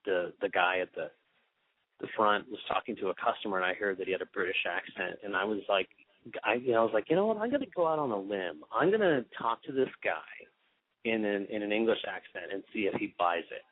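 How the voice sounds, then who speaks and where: Rambling low-voiced man on a phone line. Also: quick pace, no room sound. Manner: rambling, many restarts, animated. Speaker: guest pianist. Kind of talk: casual interview storytelling. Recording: tinny phone line, dry.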